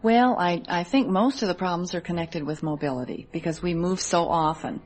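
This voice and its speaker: A woman's voice: low voice, a little mellow. A woman in her thirties with an American accent, giving conversational answers as an interview guest. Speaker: interview guest, Army wife.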